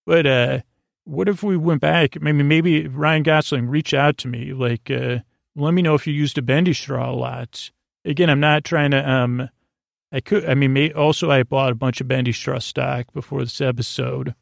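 A man hosting a sleep podcast with a goofy, rambling bedtime monologue, haltingly trailing off and doubling back. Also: mid-range voice, fairly even intonation, vocal fry, no echo, clean studio sound. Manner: whimsical, goofy.

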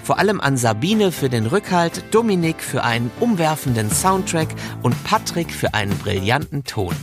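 A man's voice: a little high, sing-song, moderate pace, over music. A man presenting a podcast in a scripted, direct-address style. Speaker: podcast host.

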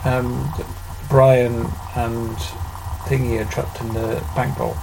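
A man's voice: mid-range voice, little pitch movement, takes it slowly, creaky, noisy background. A man in his late thirties co-hosting a casual podcast, joking, laughing often and trailing off mid-thought. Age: 30s. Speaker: podcast co-host. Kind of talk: casual chat.

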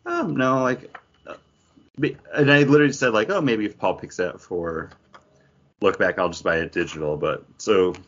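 Very low-voiced man, lo-fi podcast sound. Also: normal speed, a bit bright. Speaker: podcast co-host. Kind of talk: casual chat. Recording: dull lo-fi podcast audio.

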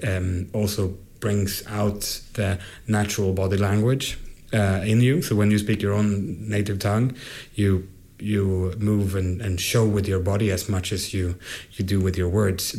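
A man's voice: fairly low pitch, a little mellow. A man from Sweden, talking casually in a rambling, hesitant way full of restarts. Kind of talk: casual conversation.

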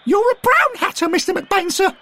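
A man's voice: very high-pitched, animated, normal speed, sharp tone, slight background noise, pitched above the performer's own voice, heavily acted. A man voicing a gleeful young male football fan who mocks and taunts with exaggerated politeness. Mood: gleeful jeering. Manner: mocking, cackling, formally polite insults.

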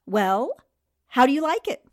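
A woman's voice: sits high, very animated, measured pace. An actress playing a clever, earnest young woman newspaper columnist in 1940s radio drama style. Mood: bright, eager.

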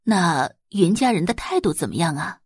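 A woman voicing a scornful, sharp-tongued middle-aged mother who talks in a sneering way, in an audio drama. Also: fairly low pitch, expressive, normal speed, husky, no echo, clean studio sound. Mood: curious. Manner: sneering, contemptuous.

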